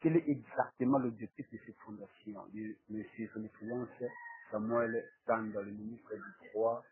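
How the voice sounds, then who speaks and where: A man with a husky voice, hosting a formal interview in halting, deliberate phrases. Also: lowish voice, steady tone, a little hiss or hum. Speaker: talk show host and interviewer. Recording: tinny, phone-like narrow-band sound.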